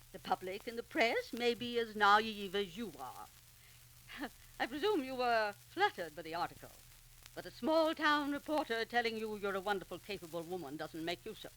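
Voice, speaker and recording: A woman's voice: a little high, fairly bright tone. An actress playing a stern, condescending middle-aged society woman in a 1940s radio serial, lecturing in a clipped manner. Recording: crackly 1940s radio recording.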